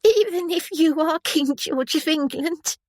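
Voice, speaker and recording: Tiny precise little girl, squeaky high, dry close sound. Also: a little slow, no echo. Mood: childlike. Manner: precise, piping. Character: precise little girl, a sleepy young child.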